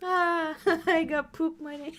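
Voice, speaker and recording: A woman's voice: very high-pitched, steady tone, slow, fairly bright tone. A woman hosting a casual livestream chat in a giggly, jokey way. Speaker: podcast host and streamer.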